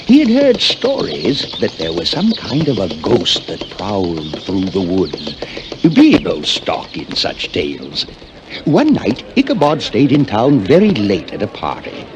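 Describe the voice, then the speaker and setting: A man narrating a spooky tale in a folksy storytelling style, with dramatic pauses and emphasis. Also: on the low side, huge pitch swings. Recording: noisy old flexi-disc recording.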